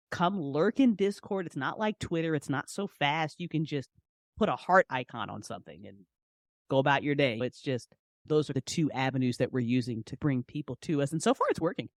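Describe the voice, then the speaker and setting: A woman's voice: sits low, no room sound, clean studio sound. A woman chatting casually as an interview guest, effusive and emphatic, with slangy, repetitive turns of phrase. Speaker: guest, fiction podcast writer and creator. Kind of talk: animated casual interview chat.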